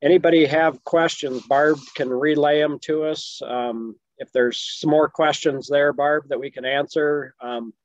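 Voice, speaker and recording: A man's voice: a little high, steady tone, a little slow, hardly any pauses. A man in his fifties with a Midwestern American accent, explaining fishing in a webinar Q&A, easygoing with many ums, from a home office on a video call. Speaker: fisheries expert answering questions. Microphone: video call webcam. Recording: muffled video call audio.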